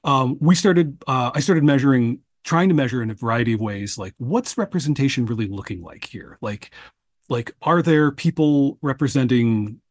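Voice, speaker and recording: A man co-hosting a podcast, his voice slightly husky and recorded close and dry. He talks in a halting, casual way, circling back and restarting his sentences. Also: mid-range voice, animated, no room sound. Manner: halting, many restarts and fillers. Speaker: podcast co-host and producer.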